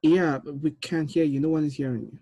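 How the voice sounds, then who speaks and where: A man's voice: a little high, fairly even intonation, brisk, warm tone, slightly rough, no echo. A man in his thirties speaking casually as he hosts a group video call over an earbud headset in a small room. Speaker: host, film director running a table read.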